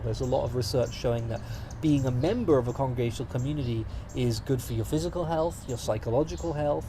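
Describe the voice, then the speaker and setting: A young British man speaks as an interview guest, articulate and enthusiastic with a dry, wry edge. His voice sits at an ordinary pitch for a man. The recording carries clear traffic noise behind him. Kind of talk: casual interview conversation. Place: studio in front of cameras.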